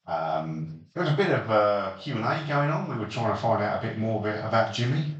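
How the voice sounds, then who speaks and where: A man's voice: on the low side, animated, brisk, lo-fi sound. A man hosting a casual podcast chat, recapping a game session with hesitant ums and dry jokes. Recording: roomy echo, distant mic.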